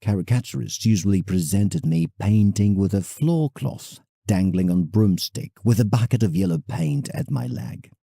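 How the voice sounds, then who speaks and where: A man's voice: on the low side, normal speed, vocal fry, hardly any pauses, mellow, slightly rough, no echo, clean studio sound. An actor playing a proud, wounded, sentimental male painter, reading his letter in a theatrical, confiding way for an audio drama.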